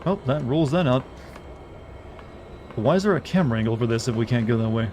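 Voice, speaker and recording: Wry, slightly raspy male streamer. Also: mid-range voice, animated, fast, a little mellow, a little hiss or hum. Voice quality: a bit raspy. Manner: chatty and wry, joking asides. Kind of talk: live gameplay commentary. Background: faint game music.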